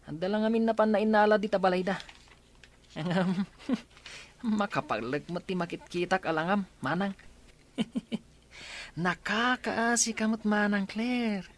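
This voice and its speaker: A woman's voice: mid-range voice, measured pace, pauses often, close to an everyday voice. A woman with an Ilocano accent voicing a cheeky, giggling schoolboy in a radio drama. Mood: teasing. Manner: giggly, teasing.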